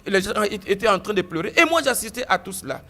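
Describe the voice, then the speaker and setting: Animated high-voiced man telling his story. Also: fast talker. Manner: animated storytelling with frequent stammered restarts; repeats phrases for emphasis. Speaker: guest giving a testimony. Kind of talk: recounting a personal story in an interview.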